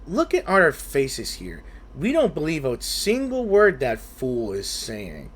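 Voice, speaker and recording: Animated man giving casual gameplay commentary. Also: fairly high pitch, slow pace, slight background noise. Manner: skeptical, rambling. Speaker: gaming video creator.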